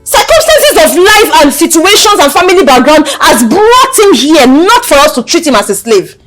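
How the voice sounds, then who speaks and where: Casting: outspoken young village daughter, film drama. Female, Nigerian accent, high-pitched, scolding, repeats herself for emphasis. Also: very animated, normal speed, fairly bright tone, exaggerated voice. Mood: indignant. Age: young adult. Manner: insistent, repetitive, emphatic. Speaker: actor. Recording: background music under the dialogue.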